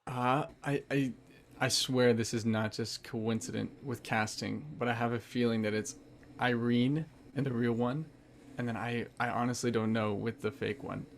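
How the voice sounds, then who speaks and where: A man's voice: medium pitch, fairly even intonation, normal speed. Male cast member, hesitant then earnest, light background hum. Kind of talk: casual group chat.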